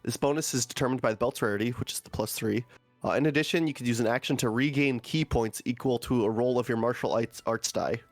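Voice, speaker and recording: A man's voice: mid-range voice, fast, no room sound, soft background music. A man who is sick with a groggy voice, reading item text aloud as a player in a tabletop game, with casual asides.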